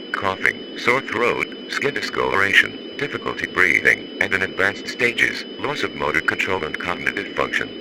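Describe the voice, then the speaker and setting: Deep-voiced male emergency announcer, noisy broadcast. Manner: stern, official, impersonal. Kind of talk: formal scripted public health warning.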